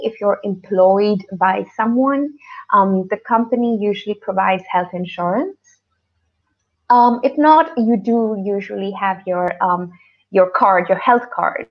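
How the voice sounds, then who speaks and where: A woman from Pakistan explaining things informally as a teacher in an online lesson, often rephrasing as she goes, over dull lo-fi call audio. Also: fairly high pitch. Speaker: English teacher co-hosting a webinar.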